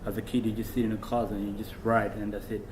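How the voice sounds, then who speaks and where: A young man from Kenya, a talk-show guest, telling childhood stories in a relaxed way with many fillers, recorded indoors on a camera mic a few feet away. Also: on the low side, fast, background noise. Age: young adult. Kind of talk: casual chat. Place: small room indoors, seated on a couch.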